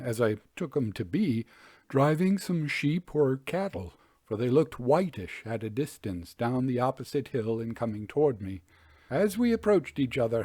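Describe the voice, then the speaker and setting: Male narrator as unnerved yet skeptical old-time gentleman traveler in a ghost story: formal, deliberate, mid-pitched, dry sound. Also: no room sound. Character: unnerved but skeptical nineteenth-century English gentleman traveler recounting.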